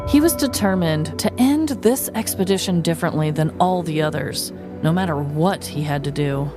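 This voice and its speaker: Woman podcast host, lower register, playful dramatic scripted narration, clear music bed underneath. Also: normal speed. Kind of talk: scripted true-crime comedy storytelling.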